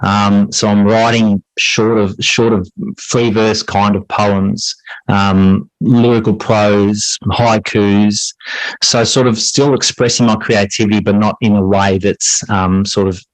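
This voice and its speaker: Low-voiced man in conversational interview. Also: little pitch movement, slow, dry recording, low-quality recording. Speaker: podcast guest, teacher and writer.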